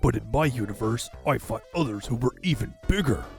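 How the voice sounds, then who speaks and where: A man's voice: mid-range voice, expressive, brisk, vocal fry, music in the background. A man voicing a cocky, boastful hero from another universe in a cartoon fandub.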